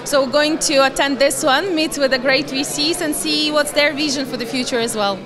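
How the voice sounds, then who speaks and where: A woman's voice: high voice, steady tone, moderate pace. A woman from Latvia in her thirties, giving earnest answers in a conference interview, speaking into a handheld mic. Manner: earnest, slightly non-native grammar. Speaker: guest, fund managing partner. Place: conference hall, in front of a banner wall. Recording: busy conference crowd behind. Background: crowd chatter.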